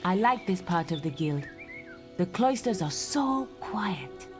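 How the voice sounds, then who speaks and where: A woman voicing a cocky young girl training as a hero in a video game, brisk and teasing. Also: lowish voice, big pitch swings. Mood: cocky, friendly. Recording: music behind the voice.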